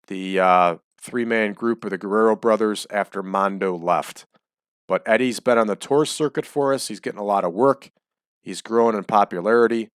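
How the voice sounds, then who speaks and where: Male let's-play host, low and close, chatty, voice slightly dry. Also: clear, resonant tone, dry recording. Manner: chatty, explains choices as he goes. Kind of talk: casual game commentary. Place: small home room.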